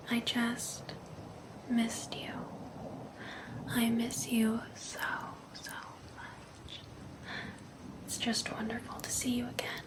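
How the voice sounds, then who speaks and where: A woman playing an obsessed, jealous vampire ex-girlfriend in an ASMR roleplay, speaking in an intimate, breathy, coaxing whisper into a close microphone. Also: a little high, a little hiss or hum, rough recording. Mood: wistful longing. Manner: slow, intimate, passive-aggressive, coaxing.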